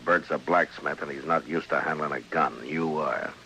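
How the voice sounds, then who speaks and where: A stern American frontier marshal, speaks in a very deep, forceful voice. He is terse, unhurried and authoritative. It is an old radio western recording with a faint hiss. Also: fairly even intonation, exaggerated voice. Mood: stern, warning.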